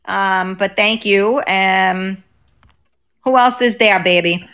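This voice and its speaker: A woman's voice: medium pitch, takes it slowly, a bit bright, smooth. A woman with a New York accent co-hosting a live podcast, talking casually and rambling with plenty of fillers. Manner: chatty, rambling, lots of fillers. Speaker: podcast co-host.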